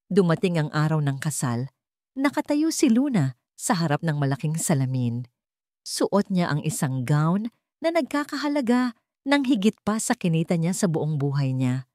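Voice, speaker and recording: A Filipino-accented woman reads a dramatic story as narrator. Her voice sits a little low, and she delivers the script in measured, short phrases. The sound is dry, with no room around it. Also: dark tone, no room sound.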